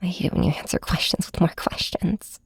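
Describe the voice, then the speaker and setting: A woman playing a weary, heartbroken hero confiding in her nemesis, hesitant and soft-spoken in an intimate ASMR audio drama. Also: a little fast, dry recording, lightly acted. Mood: pleading, reluctant. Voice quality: breathy, whispery.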